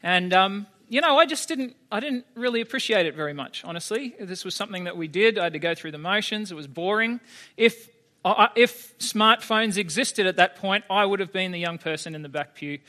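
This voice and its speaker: A man's voice: high voice, expressive, a little fast, bright, forceful tone. An Australian man in his thirties giving a conversational sermon, often asking the congregation questions. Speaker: preacher.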